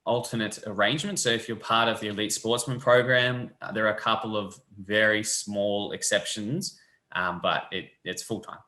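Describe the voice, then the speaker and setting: Bright-voiced young man, echoey. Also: fairly low pitch, moderate pace. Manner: relaxed, friendly, loose fillers. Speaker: physiotherapy lecturer. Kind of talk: casual explanatory chat. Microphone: webcam on a video call. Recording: echoey room.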